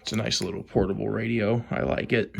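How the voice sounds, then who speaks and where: An American man giving a casual show-and-tell as a hobbyist vlogger, with a hesitant, trailing, low-key delivery. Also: steady tone, brisk, gravelly, breathy edge. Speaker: hobbyist vlogger showing a collection.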